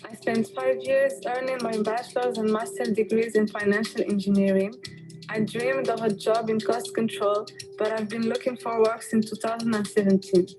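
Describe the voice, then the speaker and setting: A woman's voice: a little high, flat delivery, normal speed, low-quality recording. A young woman from Morocco narrating a scripted personal testimonial as a job training student. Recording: music bed under voice-over.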